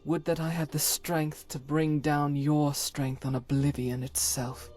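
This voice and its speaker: A woman's voice: sits low, little pitch movement, unhurried, mellow, faint music behind. A woman playing a devout, solemn holy warrior in a fantasy game, speaking in formal, archaic and earnest phrases. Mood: awed, triumphant. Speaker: voice actor for a game companion. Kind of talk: dramatic scripted fantasy game dialogue.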